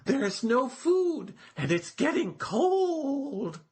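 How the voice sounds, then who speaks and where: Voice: high-pitched, big pitch swings, drawn-out words, darkish tone, voice pushed up, heavily acted. A storyteller voicing a fretful little male turtle in a children's storybook, wailing and pleading in an exaggerated way. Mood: anxious wailing.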